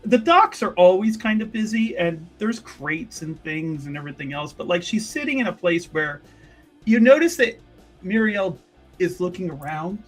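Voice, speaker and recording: A man improvising third-person narration as a tabletop roleplaying player, casual and halting, often correcting himself. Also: high-pitched, big pitch swings, faint music behind.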